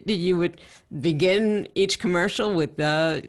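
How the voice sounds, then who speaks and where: A woman with a Long Island accent hosting an interview, asking measured, conversational questions. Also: fairly low pitch. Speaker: interview host.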